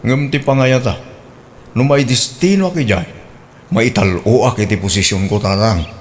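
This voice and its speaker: A man voicing an earnest young adult son in a radio drama, explaining things calmly. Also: on the low side, moderate pace, a little hiss or hum, close to an everyday voice. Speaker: grown son. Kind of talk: Ilocano radio drama acting.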